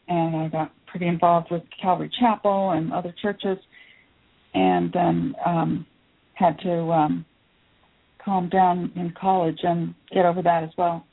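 A middle-aged woman psychologist who grew up in Taiwan, answering interview questions thoughtfully with many pauses and fillers. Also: fairly low pitch. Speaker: psychologist guest. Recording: tinny phone line.

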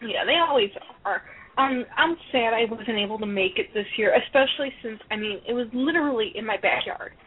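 A woman from Illinois chats as a podcast co-host, talkative and emphatic. Her voice sits a little high and sounds husky and breathy. The audio is narrow and phone-like. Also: a little fast. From Illinois, USA. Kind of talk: casual chat. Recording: tinny phone-like call audio.

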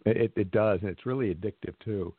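A man hosting a radio interview, talking haltingly with many ums and restarts. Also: on the low side, husky, no room sound. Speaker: radio host. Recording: tinny phone-like recording.

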